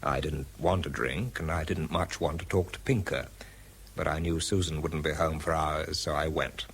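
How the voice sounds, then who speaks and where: A man's voice: very deep voice, steady tone, moderate pace, creaky, slight background noise. An actor playing a weary, sardonic research scientist who tells his own story in a radio drama, dry and understated. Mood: dry, reflective. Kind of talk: first-person radio drama narration and dialogue.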